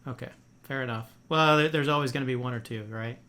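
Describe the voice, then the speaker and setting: A man's voice: mid-range voice, fast talker, sharp tone, slight background noise. A man hosting a podcast interview in a friendly, casual way, with many fillers and restarts. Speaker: podcast host.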